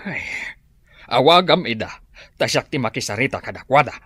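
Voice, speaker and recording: An older farming father, stern and fed up with his children. His husky voice rises and falls widely, and it sounds dry and close. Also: medium pitch, animated, moderate pace, a bit bright, no echo. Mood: exasperated. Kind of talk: radio drama acting.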